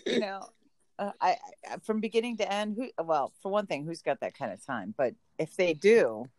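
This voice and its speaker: A woman's voice: lowish voice, animated, normal speed, darkish tone, dry recording. A woman from Connecticut with a Connecticut accent, chatting on a podcast with dry, wry remarks. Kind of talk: casual podcast chat.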